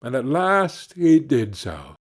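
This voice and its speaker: A man narrating a fairy tale from a script, as an audiobook reader, in a deliberate, expressive storytelling manner. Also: medium pitch.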